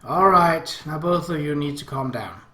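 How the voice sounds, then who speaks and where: A man's voice: a little high, animated, normal speed, bright, forceful tone. A man voicing an easygoing, teasing aunt who plays peacemaker in an anime visual novel. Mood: placating. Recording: roomy echo.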